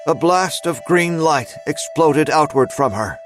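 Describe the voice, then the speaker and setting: A man narrating a scripted dramatic story as its storyteller, in a measured, suspenseful manner. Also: a little high, expressive, over music.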